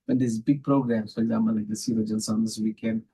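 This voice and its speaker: A man's voice: mid-range voice, sing-song, fast, gravelly, warm tone, husky, low-quality recording. A man with a South African accent sharing religious reflections conversationally as a scholar, repeating phrases for emphasis. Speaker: Islamic scholar, podcast guest.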